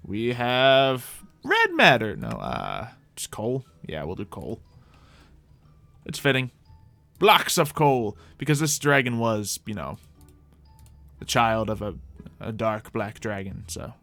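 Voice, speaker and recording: A man's voice: medium pitch, huge pitch swings, slow, creaky, bright, forceful tone, no room sound, faint music behind. A man giving casual, rambling gameplay commentary as a video host, thinking aloud in a playful way. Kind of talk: casual rambling Minecraft let's play commentary.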